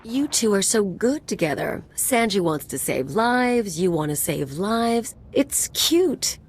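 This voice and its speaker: A woman's voice: mid-range voice, big pitch swings, takes it slowly. An actress playing a mocking, roguish female mercenary sidekick in a video game, sardonic, teasing and flippant. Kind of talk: video game dialogue acting.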